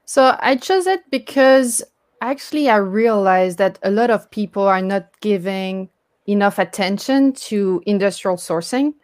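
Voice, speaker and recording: A woman's voice: mid-range voice, expressive, measured pace, a little mellow, no room sound, clean studio sound. A woman from France with a French accent, answering interview questions as a startup founder on a video call, with many fillers and restarts. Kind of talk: online interview talk.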